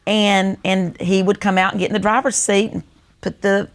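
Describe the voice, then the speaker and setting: Creaky-voiced older Southern woman telling stories. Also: mid-range voice, level tone, normal speed. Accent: North Carolina Southern American. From North Carolina, United States. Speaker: co-host. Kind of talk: casual storytelling, reminiscing.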